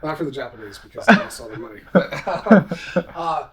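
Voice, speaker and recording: A man's voice: a little high, expressive, moderate pace, fairly bright tone. A middle-aged man giving casual, self-assured, informal answers as a podcast guest, with some room noise behind him. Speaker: podcast guest, commercial real estate entrepreneur. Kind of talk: casual interview talk.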